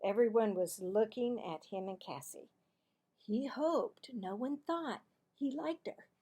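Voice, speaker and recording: A woman reading a children's story aloud in an expressive, deliberate way, with the odd stumble over words. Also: on the high side, slightly rough.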